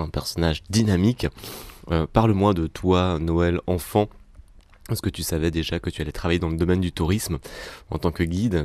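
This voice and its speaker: A man hosting an interview, musing at length and pausing as he builds reflective questions. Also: sits low, darkish tone, dry recording. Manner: musing, long-winded questions with pauses. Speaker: interviewer and host.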